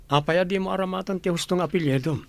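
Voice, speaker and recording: A man's voice: high voice, fast, creaky. An actor playing a kind, attentive young working man in an Ilocano radio drama. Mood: curious.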